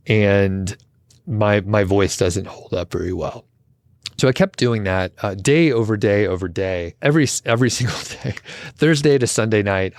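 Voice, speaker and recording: A low-voiced man chats casually through a podcast intro, wandering off on self-deprecating tangents. His voice carries a slight gravelly edge from recent overuse. The sound is dry and close. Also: no echo. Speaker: podcast co-host. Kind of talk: casual solo intro chat.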